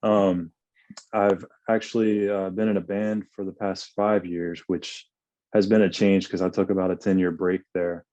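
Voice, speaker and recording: A man who plays guitar, introducing himself modestly and relaxed on a video call panel. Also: deep voice, moderate pace, no echo, dull audio. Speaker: guitarist guest.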